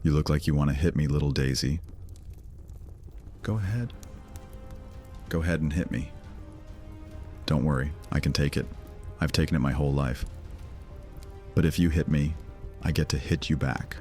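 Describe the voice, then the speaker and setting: An actor playing a brooding, sardonic vampire lord in a horror audio drama, speaking in a theatrical, deliberate, taunting manner. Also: male voice, rumbling low, darkish tone, soft background music. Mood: taunting, menacing.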